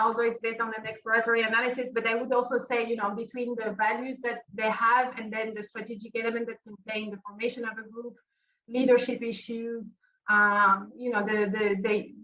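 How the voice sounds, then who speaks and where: A woman academic speaks in a bright, fairly high voice, picked up from a distance in an echoey room. She answers off the cuff at a seminar, somewhat hesitantly, feeling her way through each point. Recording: distant mic, echoey, slightly dull sound.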